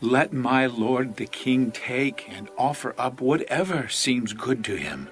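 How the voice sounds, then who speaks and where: A man's voice: medium pitch, expressive, takes it slowly. An actor playing a deferential, generous landowner addressing his king in a dramatized scripture reading. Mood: humble, earnest. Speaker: actor in a dramatized audio Bible. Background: music.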